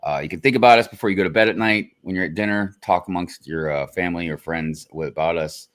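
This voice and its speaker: A man with a deep voice hosting a casual livestream chat, laid-back and joking as he talks to his co-host and thanks the viewers. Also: fast, fairly bright tone. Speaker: show host.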